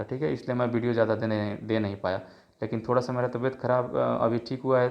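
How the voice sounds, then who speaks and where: A man's voice: medium pitch, a little mellow, lo-fi sound. A man with a sore throat teaching an exam prep lesson, reading out each multiple choice question and its options before giving the answer. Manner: reads options then gives the answer, many filler checks.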